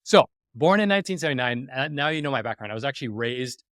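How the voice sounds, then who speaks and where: A man in his forties, from New York City, speaks at a middling pitch in a personal monologue. He restarts his sentences often and jumps to loud emphasis without warning. The recording is dry and close, with no room sound. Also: fast talker, dry recording. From New York City, USA. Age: 40s. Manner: animated, self-interrupting, with frequent restarts and sudden emphatic jumps. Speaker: podcast host.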